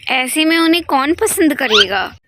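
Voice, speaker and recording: A woman's voice: sits high, animated, unhurried, background noise. A woman voicing a bossy, scornful young married sister-in-law in a cartoon story, curt and sarcastic, with music behind. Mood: disapproving. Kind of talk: acted dialogue in a Hindi moral story cartoon.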